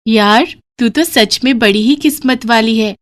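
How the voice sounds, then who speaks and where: A woman's voice: a little high, a little slow, voice pushed up, lightly acted. A woman voicing an admiring, curious female goat with a friendly, wondering manner.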